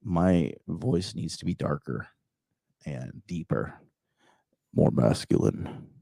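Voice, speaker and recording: A man hosting a livestream, reading an article aloud and answering the chat in a blunt, crude way, with a raspy, slightly nasal voice. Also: a bass voice, animated, slow, warm tone, no echo, clean studio sound. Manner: blunt, crude, talks back to live chat. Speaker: podcast host.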